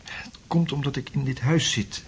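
Radio drama male lead played by an actor: troubled tenant, hesitant and agitated, medium pitch, slightly noisy recording. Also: steady tone, normal speed, close to an everyday voice. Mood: distressed. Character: troubled male tenant, anxious, convinced the house is wrong. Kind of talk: Dutch radio drama acting.

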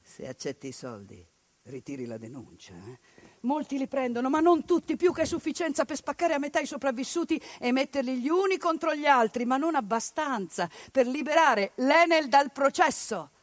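Impassioned actress, dry close sound. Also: female voice, sits high, big pitch swings, moderate pace, no room sound. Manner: impassioned, rhetorical lists, shifts to hushed bitterness. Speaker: stage actress. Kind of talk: theatrical monologue on radio.

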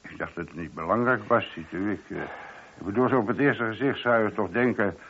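An actor playing a chatty, deferential but opinionated middle-aged police sergeant in a radio play. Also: male voice, lowish voice, brisk, fairly bright tone, a little hiss or hum, close to an everyday voice. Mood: apologetic. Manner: talkative, colloquial, deferential. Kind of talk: radio drama acting. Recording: dull, lo-fi old radio recording.

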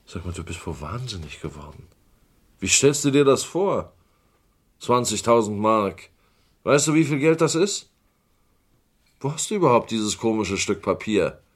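A man playing a smooth-talking, patronizing uncle with menace underneath in a German radio crime drama. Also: fairly low pitch, sing-song, measured pace, long pauses. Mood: indignant, threatening.

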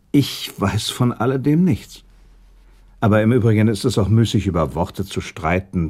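A man acting in a German radio play as a haughty, evasive aristocratic gentleman under accusation, with formal, defensive delivery and a husky voice. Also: lowish voice. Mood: haughty, dismissive.

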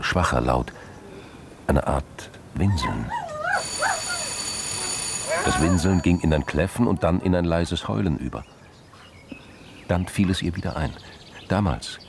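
An extremely deep male voice with a gravelly creak narrates a crime drama. He is measured and sober, reading scripted prose, with clear noise and effects behind him.

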